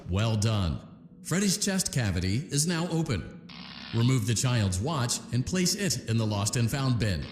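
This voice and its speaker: Animated announcer-like game instructor, echoing hall. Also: male voice, mid-range voice, a little slow, a little hiss or hum. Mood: instructive, upbeat. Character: chipper male instructor guiding a robot repair task. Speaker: tutorial announcer. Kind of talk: scripted video game instructions. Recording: echoey hall reverb, loud music behind.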